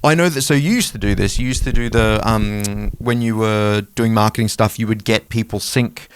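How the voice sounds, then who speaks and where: A man's voice: lowish voice, moderate pace, clear, resonant tone, no echo. A man with an Australian accent chatting casually and informally as a podcast host, with some swearing. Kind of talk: casual chat.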